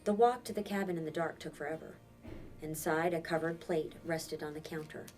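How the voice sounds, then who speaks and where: A woman's voice: low voice, brisk, a little mellow, distant mic, soft background music. A woman reads a children's novel aloud as a teacher would, expressively, acting out the dialogue.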